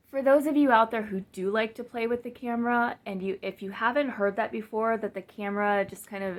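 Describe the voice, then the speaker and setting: A woman talking casually to her camera in a monotone, flat voice, rambling with fillers and restarts and poking fun at herself. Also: mid-range voice. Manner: rambling, many fillers and restarts, self-mocking. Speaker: vlogger and business coach. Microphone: camera mic, speaking to the lens.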